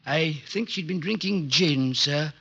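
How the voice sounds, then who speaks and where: A man's voice: fairly high pitch, unhurried, creaky, fairly bright tone, breathy edge, heavily acted. An actor playing a timid, fussy male butler in a 1940s radio drama, polite and easily flustered. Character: timid, prim middle-aged male butler. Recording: dull lo-fi old radio recording.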